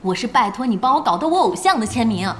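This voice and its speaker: A bright, high voice: a woman voicing a spirited young gamer, brisk, mocking and a little bossy, in a radio drama. Her pitch leaps around as she teases. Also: sing-song, slight background noise. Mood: teasing. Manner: brisk, mocking, big-sisterly. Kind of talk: audio drama acting.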